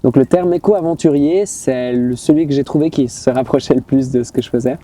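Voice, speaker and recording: Young male podcast guest, very dark tone, chatty storyteller, Hebrew first language. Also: medium pitch, brisk. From Switzerland. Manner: chatty, laughing, acts out anecdotes. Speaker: guest, ultra-distance swimmer. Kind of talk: casual podcast chat.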